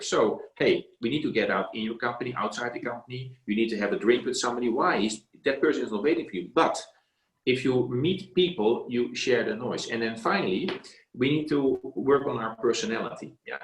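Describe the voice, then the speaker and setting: A middle-aged man giving emphatic career coaching on a video call, repeating his words. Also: medium pitch. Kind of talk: casual advice on a video call. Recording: roomy echo.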